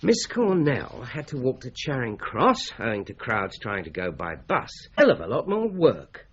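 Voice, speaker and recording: A man playing a shrewd, methodical British police inspector in a radio drama, brisk and authoritative. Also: medium pitch, huge pitch swings, breathy edge. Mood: thoughtful, dogged. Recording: slightly dull radio drama recording.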